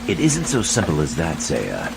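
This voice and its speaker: An actor playing a solemn, manipulative mentor of thieves in a game cutscene, with a gravelly, formal, ominous delivery. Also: male voice, very deep voice, wildly swooping pitch, moderate pace, background noise, heavily acted. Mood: solemn, insistent. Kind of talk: dramatic game cutscene dialogue. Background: loud music.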